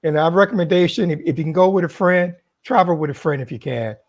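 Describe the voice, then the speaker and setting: A man on a live-stream panel offers earnest, practical advice, often stumbling over his words with "uh" and "you know." His voice is slightly higher than average for a man. He sounds like a friendly regular guest. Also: fast talker. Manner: hesitant, many "uh"s, earnest advice. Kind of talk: casual chat.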